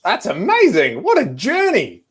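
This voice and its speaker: A man's voice: high voice, wildly swooping pitch, a little slow, husky. A man hosting a children's show, chatting casually with enthusiastic, encouraging reactions.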